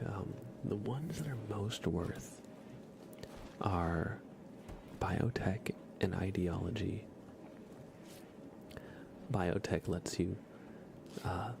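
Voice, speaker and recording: A man with a low, creaky voice talks slowly and softly as he hosts a cozy gaming stream. He often slips into a whisper, in an unhurried ASMR style, with noise behind him. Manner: soft-spoken, often dropping into a whisper. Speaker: livestream host.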